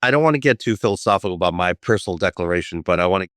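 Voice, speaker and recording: Deep, smooth-voiced male interview guest. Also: lively intonation, very fast, a bit bright, no echo, studio quality. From United States. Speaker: guest, firm co-founder. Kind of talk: interview answers.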